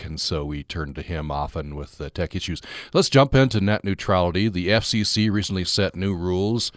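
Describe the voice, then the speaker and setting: A man hosting a radio talk show, introducing topics with a measured, scripted delivery. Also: sits low, no room sound, clean studio sound.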